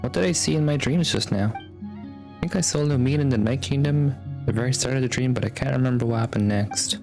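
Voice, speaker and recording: An actor playing a calm, thoughtful young male adventurer in a video game, musing and understated. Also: medium pitch, fairly quick, gravelly, faint music behind, lightly acted. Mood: drowsy musing.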